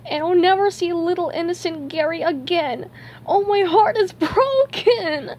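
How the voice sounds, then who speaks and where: A woman voicing an excitable, overdramatic young male cartoon sponge who wails and exclaims. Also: very high-pitched, measured pace, a little hiss or hum, voice pushed up, exaggerated voice. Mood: tearful, despairing. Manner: dramatic, wailing and exclaiming.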